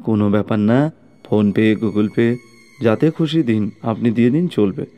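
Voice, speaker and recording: A male storyteller plays a businesslike, money-minded family doctor in a cartoon tale. The voice is dark and mellow over faint music. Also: on the low side, measured pace, highs cut off, close to an everyday voice. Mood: brisk, businesslike.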